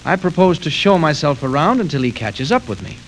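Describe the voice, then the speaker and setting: An actor playing an earnest, level-headed newspaper reporter in 1940s radio style, polite and assured. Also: male voice, a little high, very animated, brisk. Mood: determined. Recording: noisy, crackly old radio show record.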